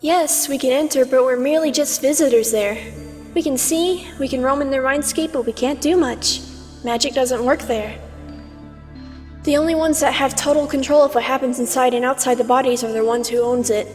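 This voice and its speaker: A woman voices an immortal aspect of Twilight with a high, theatrical voice. She lectures like a stern mentor, teasing and condescending, in a fantasy comic dub. Long reverb surrounds her, and music plays behind. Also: moderate pace, darkish tone, close to an everyday voice. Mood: lecturing. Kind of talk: scripted fan comic dub acting.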